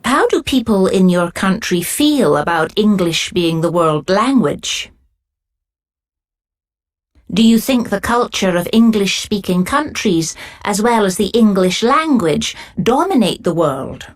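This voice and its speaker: Woman examiner with lively questioning. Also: medium pitch, measured pace. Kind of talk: scripted exam questions.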